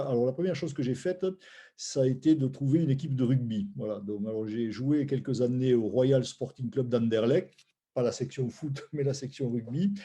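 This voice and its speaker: A man's voice: medium pitch, warm tone, slightly rough. A man, telling his story informally as a guest, in an anecdotal, digressive way. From Roussillon, southern France. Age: 60s. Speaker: founder of a food brand, guest. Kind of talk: informal spoken presentation of life story.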